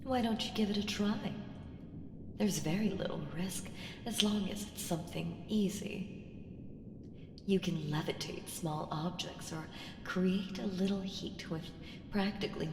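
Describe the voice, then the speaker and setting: A woman's voice: lowish voice, a little mellow. A woman voicing an ancient evil entity in an ASMR roleplay, seductive, sly and taunting, with ominous teasing and whispered phrases. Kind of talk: ASMR roleplay audio drama. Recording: reverberant hall effect, faint noise.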